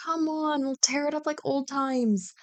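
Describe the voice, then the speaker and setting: A woman acts out a playful young woman chatting to her oldest friend in an ASMR roleplay. Her voice sits fairly high. She banters and teases with animated mock exasperation and a big love of Halloween. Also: unhurried. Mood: enthusiastic, teasing. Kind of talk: ASMR roleplay, one-sided scripted conversation.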